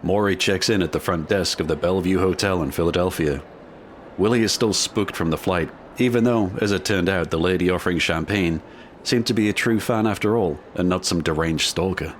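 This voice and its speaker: A man narrating a scripted audio drama in a measured, dramatic manner. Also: low voice, slight background noise.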